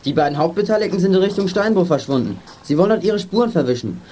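Teen boy detective, high voice, earnest n pushy, kids radio play, slight noise. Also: animated, fast talker. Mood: urgent, explaining. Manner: earnest, assertive. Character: plucky teenage boy detective, bossy and outspoken. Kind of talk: 1980s German children's radio play acting.

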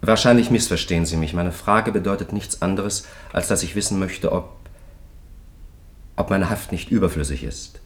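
Casting: a low-voiced jailed clergyman for a vintage German radio play, unbending, thoughtful, formal delivery. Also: normal speed. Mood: guarded, restless. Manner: grave, formal, reflective. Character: steadfast, quietly defiant jailed male priest.

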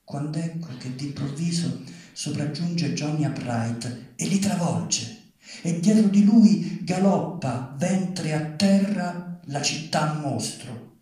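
A man's voice: on the high side, slow pace, warm tone. A man giving a dramatic reading of literary prose aloud. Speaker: reader at a live literary reading. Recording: very echoey hall, far from mic.

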